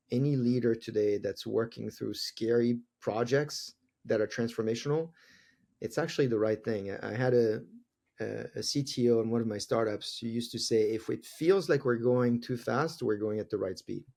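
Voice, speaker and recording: Male interview guest, 40s, French accent, dark gravelly timbre, reflective. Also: mid-range voice, moderate pace. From France. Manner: earnest, reflective, laughs easily. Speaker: guest, tech executive. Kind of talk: conversational interview answers.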